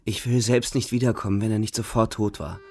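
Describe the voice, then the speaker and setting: A man's voice: lowish voice, fairly even intonation, brisk, a little mellow. An actor playing a weary, grieving young German soldier narrating his war in a radio drama, subdued in manner.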